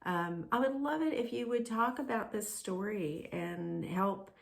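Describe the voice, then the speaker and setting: A woman storyteller for a children's ministry, gently narrating a storybook and talking to young listeners. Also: medium pitch, a little slow, gravelly.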